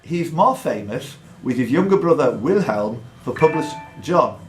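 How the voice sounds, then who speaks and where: A man's voice: fairly high pitch, big pitch swings, moderate pace. A man hosting a live pub quiz, reading questions formally and chatting jokily in between. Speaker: quizmaster. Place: indoors in a pub. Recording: echoey pub room, music behind.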